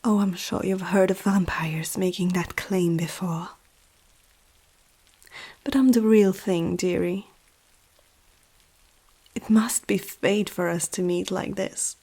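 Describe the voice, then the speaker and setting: A woman voicing a powerful, teasing female vampire noble in an ASMR roleplay, speaking softly and often close to a whisper, with an aristocratic, self-assured manner. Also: lowish voice, fairly even intonation, slow pace, long pauses, exaggerated voice. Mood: menacing, seductive. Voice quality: soft, breathy, often near whisper. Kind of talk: ASMR roleplay addressed to the listener.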